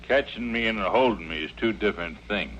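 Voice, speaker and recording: A man's voice: on the low side, fairly quick, fairly bright tone, close to an everyday voice. A man acting a surly, menacing outlaw prisoner in an old radio western, sneering with an American western accent. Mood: defiant. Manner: sneering, threatening. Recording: muffled old radio recording, clear hiss.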